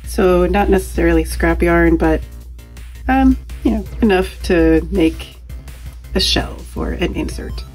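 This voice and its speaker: A woman's voice: lowish voice, unhurried, darkish tone, soft background music. A woman in her thirties narrating a casual craft vlog, informally thinking aloud as she goes. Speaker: crochet crafter and vlogger.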